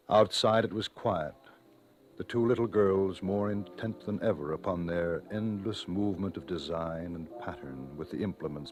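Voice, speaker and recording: A man narrating an old-time radio suspense drama as a grave, measured, ominous storyteller. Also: sits low, a little mellow.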